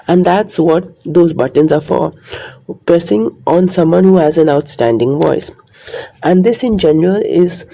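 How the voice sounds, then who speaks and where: A man's voice: fairly high pitch, vocal fry, warm tone, low-quality recording. A man talking in a rambling, earnest monologue to his viewers, repeating his points. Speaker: vlogger. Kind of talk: casual monologue to camera.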